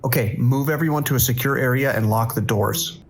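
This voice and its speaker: A man acts as a police dispatcher in an audio drama, with a mid-pitched voice. He is harried but commanding, keeping to short, urgent instructions and procedural phrasing. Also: fairly quick, slightly rough. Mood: controlled, firm.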